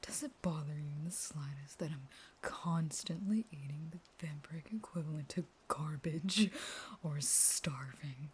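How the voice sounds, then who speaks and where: A woman playing a starving, unstable caged vampire who pleads with a lover in a breathy, intimate, dramatic whisper. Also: deep voice, unhurried, heavily acted. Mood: bitter, unhinged. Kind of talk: intimate whispered roleplay audio monologue.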